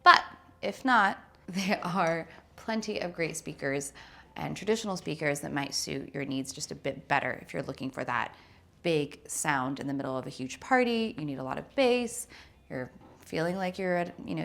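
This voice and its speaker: Female reviewer, thirties, lower register with strong creak, conversational and emphatic video presenting. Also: fairly bright tone. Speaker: product reviewer and showroom presenter. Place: indoor showroom with wood-slat wall.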